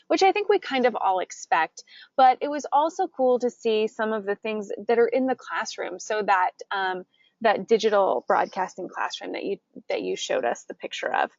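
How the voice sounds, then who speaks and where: An American woman speaks as a webinar host at a middling pitch, explaining features and prompting a guest with encouraging questions. The sound is dry and a little muffled, as online meeting audio often is. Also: no room sound. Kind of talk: interview and guided software demonstration.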